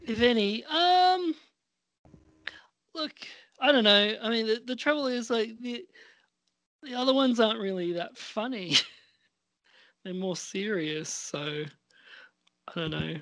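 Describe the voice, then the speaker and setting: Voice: medium pitch, slow pace, long pauses, a bit bright, dry recording. A podcast co-host chatting casually in a hesitant, self-deprecating way. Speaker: co-host and script writer. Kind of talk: casual chat.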